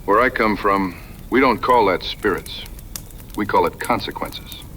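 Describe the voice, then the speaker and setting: A man's voice: fairly low pitch, measured pace, sharp tone, a little hiss or hum. A man playing a plainspoken, skeptical frontier hunter in an audio drama, earnest and folksy in his backwoods phrasing. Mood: wry, probing.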